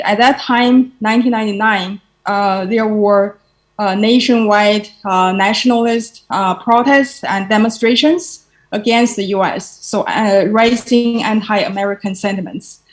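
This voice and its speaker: Woman w presenting at a conference, lots of uh pauses, mid pitch. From China. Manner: halting, many filler pauses. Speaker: conference speaker.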